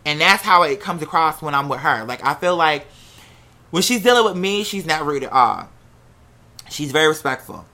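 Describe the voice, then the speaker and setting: Bright-voiced American casual storyteller, candid and animated. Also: mid-range voice, moderate pace. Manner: acts out quoted remarks while telling.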